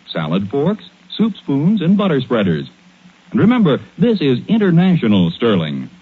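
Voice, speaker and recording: A man's voice: medium pitch, breathy edge, narrow, tinny audio. An American man announcing from a script in a grand, dramatic 1940s radio style. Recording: thin vintage radio broadcast sound.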